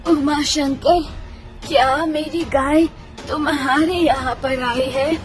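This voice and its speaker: Woman acting a slow, emotional old village grandmother. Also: high-pitched, steady tone. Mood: pleading. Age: older. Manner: slow, emotional, drawn-out delivery. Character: poor, kindhearted old village grandmother, sorrowful and wondering. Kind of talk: acted children's moral story. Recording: music under the voice.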